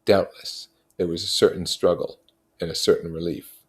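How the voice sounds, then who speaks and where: A man reading literary prose aloud as narrator, with measured, expressive phrasing. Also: deep voice. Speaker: reader.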